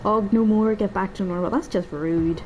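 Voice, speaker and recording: A woman's voice: mid-range voice, normal speed, muffled recording, slight background noise. A woman giving casual, rambling, self-deprecating let's play commentary, her voice slightly stuffed up as if from a cold. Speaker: gaming video host.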